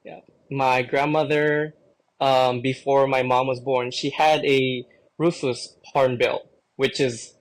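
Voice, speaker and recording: A young man from the Philippines, a podcast guest talking about his birds in a relaxed, rambling way with lots of fillers. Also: mid-range voice, takes it slowly, poor audio. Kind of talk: casual chat.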